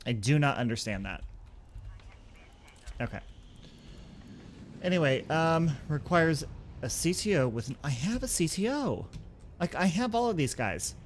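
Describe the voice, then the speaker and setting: A man's voice: on the high side, sing-song, measured pace, pauses often, slight background noise. A man gives casual let's-play commentary as a gaming host, thinking aloud and talking through each step.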